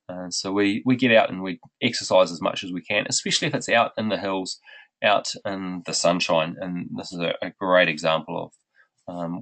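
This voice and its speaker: A middle-aged running coach with a New Zealand accent, blocked up with a head cold, giving a webinar in an unhurried, friendly way with many ums. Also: male voice, low voice, creaky. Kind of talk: webinar presentation.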